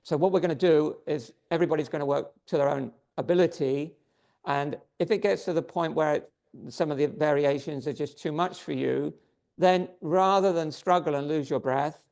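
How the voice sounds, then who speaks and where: A man teaching a yoga class, giving calm step-by-step posture and breathing cues while he is losing his voice. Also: a little high, normal speed, gravelly. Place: yoga studio.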